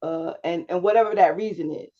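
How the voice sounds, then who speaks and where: A woman's voice: fairly low pitch, fairly quick, dull audio. A woman public speaking instructor giving one-on-one feedback to a student over a video call, often checking in with "right?". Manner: coaching, checks understanding with frequent "right?".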